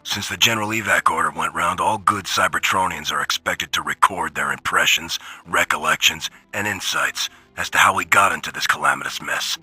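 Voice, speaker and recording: Gruff, raspy robot soldier. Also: male voice, sits low, fairly quick, few pauses, pushed and bright, heavily acted, low-quality recording. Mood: sardonic, gruff. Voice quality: gravelly, raspy. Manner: terse, matter-of-fact, gruff. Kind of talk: scripted in-character audio log.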